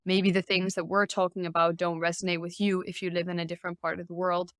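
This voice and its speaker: Smooth-voiced woman hosting. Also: fairly low pitch, flat delivery, fast, fairly bright tone, dry recording, clean studio sound. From Finland. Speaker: host. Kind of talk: casual podcast discussion.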